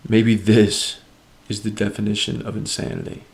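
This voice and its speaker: An actor playing a troubled, cynical drug dealer, narrating and talking in a brooding, profane, philosophical way in a film drama. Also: male voice, on the low side, monotone, moderate pace, darkish tone, reverberant, close to an everyday voice. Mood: anxious, resolved. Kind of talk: dramatic voice-over and casual film dialogue.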